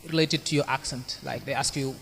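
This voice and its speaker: A man from Senegal hosting a talk show, asking questions in a halting way and searching for his words. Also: mid-range voice, steady tone, gravelly, a bit bright. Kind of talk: casual interview.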